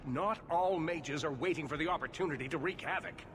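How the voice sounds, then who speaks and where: An actor playing an indignant, impassioned leader of mages, speaking formally and urgently in a declamatory fantasy game style. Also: male voice, fairly high pitch, brisk, a bit bright, a little hiss or hum, lightly acted. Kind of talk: dramatic fantasy video game acting.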